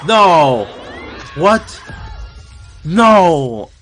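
A man's voice: a little high, drawn-out words, soft background music, some chatter behind. A young man hosting a reaction video, exclaiming and laughing as he watches, recorded on a webcam in a small room. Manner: excitable, exclaiming and laughing at the screen. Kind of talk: live reaction commentary. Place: small indoor room with curtains.

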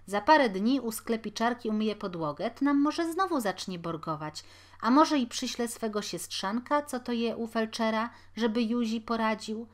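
A woman reading aloud gives voice to a poor middle-aged washerwoman who talks loudly and high. She scolds and laments in a rushed, anxious way, typical of a storybook mother worn down by need. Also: close to an everyday voice. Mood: fretful. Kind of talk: audiobook character voice.

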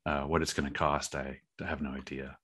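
A man's voice: very deep voice, little pitch movement, brisk, fairly bright tone, husky. A man speaking as a podcast guest in a casual interview, like an independent filmmaker explaining his work.

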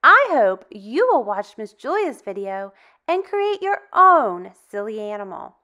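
A woman leads a children's storytime in a high, bright voice. Her pitch swings freely as she reads, with expressive, carefully spaced phrasing aimed at very young listeners. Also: dull audio. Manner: animated, expressive, deliberate phrasing with dramatic hushes. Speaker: storytime host. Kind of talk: children's read-aloud, addressing young children.

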